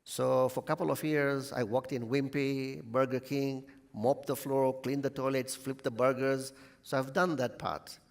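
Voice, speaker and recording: A middle-aged man from Tanzania, a hotel chief executive, telling his career story at a measured pace. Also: mid-range voice, steady tone, vocal fry. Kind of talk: panel answer. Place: conference hall.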